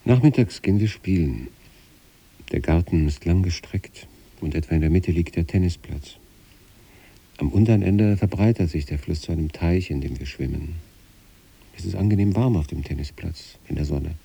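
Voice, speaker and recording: An actor playing a troubled, detached sanatorium patient who recites letters to his wife in a measured, brooding radio play monologue. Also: sits low, gravelly, dark tone, breathy edge, full character voice. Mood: introspective, estranged.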